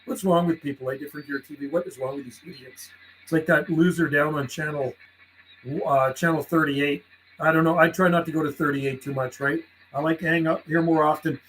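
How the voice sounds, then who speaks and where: Brief: chatty radio hobbyist man from western Canada, talks call signs and jargon, pitched a bit high, faint hiss behind. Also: fairly even intonation, fairly quick, a bit bright, rough recording. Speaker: CB radio operator streaming live. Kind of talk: casual on-air radio chat.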